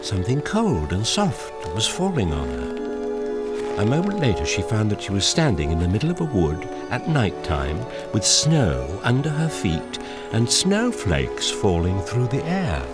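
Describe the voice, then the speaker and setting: A measured storybook narrator in an audio drama. He reads gently in a low voice with very wide swings of intonation, over clear background music. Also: huge pitch swings. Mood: hushed suspense.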